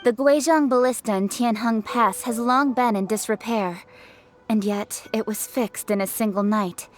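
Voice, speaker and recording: Woman voicing high-voiced, commanding game noblewoman. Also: fairly even intonation, normal speed, lightly acted. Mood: self-assured. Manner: self-assured, commanding, formal. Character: confident, brisk, authoritative young noblewoman official. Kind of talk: video game cutscene voice acting.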